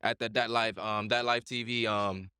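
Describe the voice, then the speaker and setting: A man's voice: fairly low pitch, fairly even intonation, normal speed, pushed and bright, clean tone, dry recording. Male podcast host, dry close recording, slangy and emphatic, conversational, swears freely. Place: indoor lounge smoke shop.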